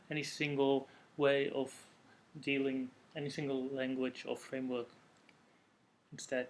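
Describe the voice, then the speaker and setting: A man from the Netherlands with a Dutch accent, giving a recorded technical presentation in a measured, explanatory way. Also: medium pitch, slight background noise. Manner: measured, explanatory delivery with occasional self-corrections. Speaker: conference speaker.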